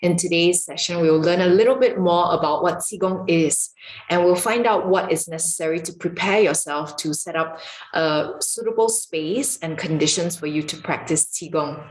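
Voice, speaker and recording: A woman from Singapore, a qigong and yoga teacher, calmly giving an online lesson with some filler ums. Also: on the low side, normal speed. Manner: calm, explanatory, with filler ums. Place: home room with marble floor. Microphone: camera mic, video recording. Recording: echoey room.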